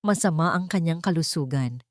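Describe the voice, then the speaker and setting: A guarded, polite single mother in an audio drama, voiced by a woman narrator. Her voice is fairly low, and the recording is dry. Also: expressive, a little fast, no room sound. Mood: guarded, sorrowful.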